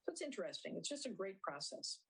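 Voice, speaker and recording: A woman answering interview questions conversationally as a theater director, rephrasing haltingly as she explains. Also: mid-range voice, sing-song, fairly bright tone, husky.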